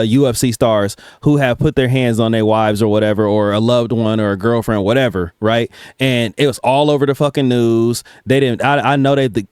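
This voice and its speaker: A man's voice: medium pitch, darkish tone, smooth, no echo, clean studio sound. Blunt man reacting in casual slang. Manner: blunt, heavy swearing, slangy. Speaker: reaction video host.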